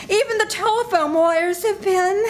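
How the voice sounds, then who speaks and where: A woman's voice: extremely high pitch, unhurried, noisy background. An older woman playing a frightened woman on a lonely island in an amateur old-time radio melodrama, anxious and close to tears. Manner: breathless, anxious, near tears.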